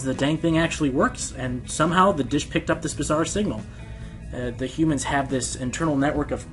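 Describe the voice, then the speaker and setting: A man's voice: mid-range voice, lightly acted. A man playing a defensive, earnest pony radio host being interviewed in a radio play, stammering and hesitant. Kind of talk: conversational radio play dialogue. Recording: music under the voice.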